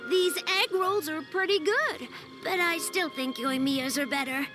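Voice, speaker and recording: An actress voicing a friendly, matter-of-fact young child in a video game, a bit of a know-it-all. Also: female voice, very high voice, a little slow. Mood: friendly, admiring. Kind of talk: video game cutscene acting. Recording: music under the voice.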